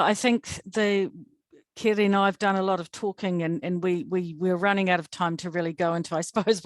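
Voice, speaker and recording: A woman speaking reflectively on an online panel, halting and repeating words as she thinks aloud. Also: low-pitched, darkish tone, dry recording, clean studio sound. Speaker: project partner. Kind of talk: online webinar panel discussion. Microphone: video call.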